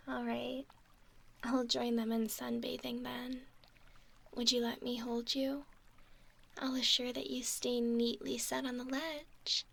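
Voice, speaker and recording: Woman voicing a doting mermaid, higher-pitched, unhurried, soft breathy whisper, faint creak, soothing ASMR roleplay. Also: exaggerated voice. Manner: slow, soothing, cooing whisper and soft speech. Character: gentle, doting young female mermaid, fascinated by humans.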